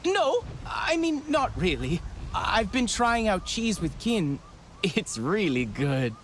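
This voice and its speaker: Casting: anxious, chatty young techie for a game, pitch jumping around, slight background noise. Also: male voice, slow, heavily acted. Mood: sheepish. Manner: hesitant, self-correcting, animated. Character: nervous, chatty, eager young tech scavenger. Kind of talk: naturalistic game dialogue.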